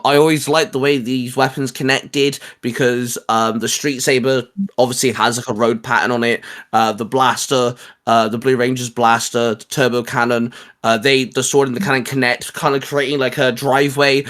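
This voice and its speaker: A man's voice: medium pitch, fairly bright tone. A man co-hosting a fan discussion show, talking casually and enthusiastically about geeky favourites in a loose, hedging way. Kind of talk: casual geek chat, ranking discussion.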